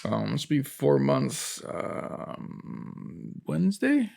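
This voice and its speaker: A man's voice: mid-range voice, huge pitch swings, extremely slow, gravelly, darkish tone. A young man from Florida co-hosting a podcast, chatting casually about his baby daughter, with a loose, filler-heavy way of talking. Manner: easygoing, lots of fillers, laughs often. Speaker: podcast co-host. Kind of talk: casual chat.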